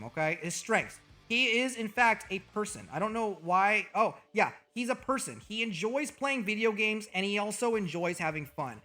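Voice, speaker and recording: A man streaming as a VTuber, joking and trash-talking with over-the-top, profane bragging, his voice a little hoarse. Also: sits high, sharp tone. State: slightly hoarse. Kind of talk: comedic livestream banter.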